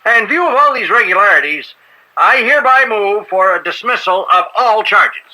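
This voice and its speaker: A man's voice: high voice, lively intonation, moderate pace, bright, forceful tone, exaggerated voice, low-quality recording. A man acting in a radio courtroom drama as a smug, slick American defense attorney, formal, pointed and oratorical. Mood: indignant, oratorical.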